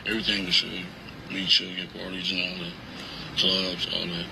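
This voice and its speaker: Low-voiced Los man, very noisy recording. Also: completely flat delivery, vocal fry. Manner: rambling, slangy, halting. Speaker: interviewee recounting past events to detectives. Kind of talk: casual recorded interview. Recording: very noisy archived interview, vehicle-like rumble.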